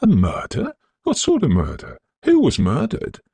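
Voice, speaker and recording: Brash young gentleman played by a male narrator, Victorian ghost story, high and exclamatory, gravelly edge. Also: normal speed, no room sound, full character voice. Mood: curious. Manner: animated, exclamatory, theatrical period phrasing. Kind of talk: dramatised audiobook ghost story.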